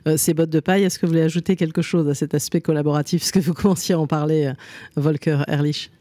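A woman hosts a radio panel from a studio microphone, quickly prompting her guests. Her voice is mellow and a little high, and the sound is dry and close. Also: steady tone, no room sound. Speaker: radio host. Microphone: studio mic, headphones.

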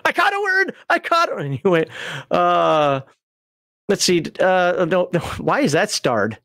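A man's voice: high voice, sing-song, unhurried, pushed and bright, dry recording. Upbeat man hosting a livestream, dry mic. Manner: laughs often, reads chat messages aloud. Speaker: livestream host. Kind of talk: casual livestream chat.

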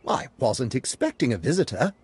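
A man's voice: medium pitch, wildly swooping pitch, normal speed, very noisy. A man playing a mild, good-natured animal father in a children's cartoon game. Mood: mild, pleased.

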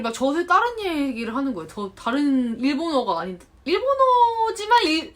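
A Korean woman in her thirties talking casually to camera, chatty and animated, acting out remarks she quotes. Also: a little high. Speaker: vlogger. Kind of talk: unscripted talk to camera. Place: home in Japan.

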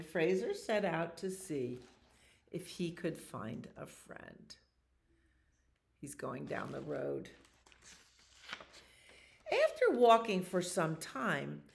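An older woman in her sixties reads a children's picture book aloud. Her voice is low with a noticeable creak, and she takes her time, adding casual explanations as she goes. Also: sing-song, a little hiss or hum. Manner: unhurried, explaining pictures to young listeners. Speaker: children's storytime reader. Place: small library children's room.

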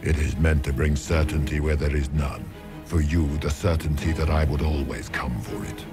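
A man's voice: extremely low pitch, steady tone, moderate pace. A man playing an ancient, monstrous would-be god, imperious and menacing, who declaims in pompous, archaic phrases for a fantasy game. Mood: cold menace. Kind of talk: dramatic fantasy game villain acting. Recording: loud dramatic music behind voice.

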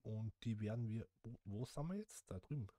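A man's voice: lowish voice, animated, a little fast, warm tone, no echo. A man, chatting casually to viewers and thinking aloud as he plays a simulation game. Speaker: gaming channel host. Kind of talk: casual gameplay commentary.

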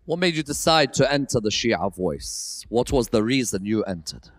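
A man acting as a talent show judge, asking calm, direct questions. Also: medium pitch, moderate pace.

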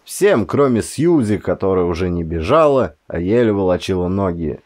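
Low-voiced male audiobook narrator. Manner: measured, expressive reading. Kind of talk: scripted first-person story narration.

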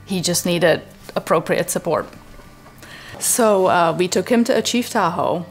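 A woman from the Czech Republic with a slightly low voice talks earnestly about her family in a home interview. She is on a lapel mic on a sofa, with faint music behind her. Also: a little slow. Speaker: parent interviewee. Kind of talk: interview testimonial. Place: living room, seated on a sofa.

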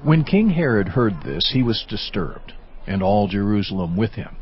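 A man narrating a dramatized Bible in a measured, formal scripted style. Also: fairly low pitch, sing-song, husky. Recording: slightly dull audio over music.

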